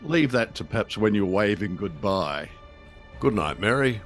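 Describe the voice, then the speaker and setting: A man's voice: fairly low pitch, lively intonation, moderate pace, fairly bright tone, soft background music. An older man co-hosting a show, chatting casually about a radio drama. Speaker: podcast co-host.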